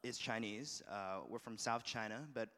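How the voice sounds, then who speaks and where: A young man from Malaysia who grew up in Shanghai, a student giving an earnest, halting personal testimony to an audience with many restarts. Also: on the low side, fairly even intonation, sharp tone. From Malaysia, raised in Shanghai. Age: young adult. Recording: long hall reverb.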